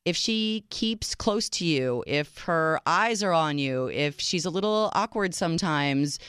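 Brief: low-voiced female radio co-host, smooth and dry studio sound, playful and upbeat. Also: measured pace, no room sound. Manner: lively, playful, laughs easily. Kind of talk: casual radio talk show chat. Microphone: studio microphone.

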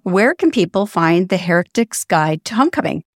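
A woman hosting a podcast, asking measured, friendly questions and reading the outro. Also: on the low side, big pitch swings. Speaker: podcast host. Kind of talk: interview hosting.

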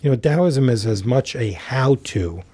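A middle-aged man guesting on a podcast, telling a reflective story in an unhurried, thoughtful way with many fillers and restarts. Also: medium pitch, lively intonation, dark tone, husky, dry recording. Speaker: podcast guest, tai chi teacher.

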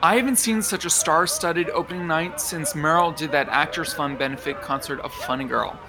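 Audio drama role: male actor as gossipy red-carpet reporter, high-voiced, effusive and arch, always probing. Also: normal speed, over music, natural voice, rough recording. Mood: nosy, insinuating. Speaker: entertainment reporter.

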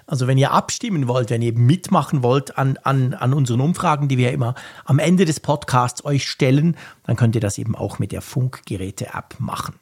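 Man, slightly hoarse, gravelly and breathy, chatty co-host, laughs easily. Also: mid-range voice, brisk, hardly any pauses, a little mellow. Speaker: podcast co-host. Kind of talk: casual chat.

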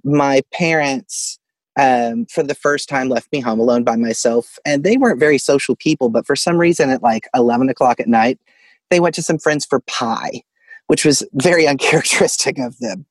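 A man's voice: mid-range voice, moderate pace, no echo. Male caller from Arkansas, dry close sound. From Arkansas, United States. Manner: chuckles through his story. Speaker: caller telling a personal ghost story. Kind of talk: casual storytelling. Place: closet at home.

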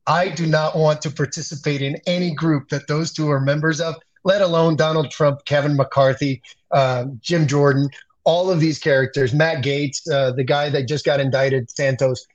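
A man from the Dominican Republic talks politics as an interview guest. He is earnest and often stumbles and restarts his sentences. His voice sits a little high for a man, and the call audio sounds dull and lo-fi. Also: fairly even intonation, normal speed. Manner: earnest, frequent restarts and repeated words. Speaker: communications expert guest.

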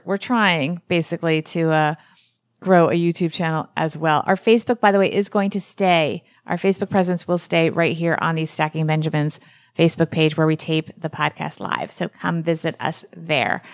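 A woman's voice: on the low side, dry recording. Upbeat Gen X woman podcast host. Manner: upbeat, plugging and summing up. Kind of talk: casual podcast chat.

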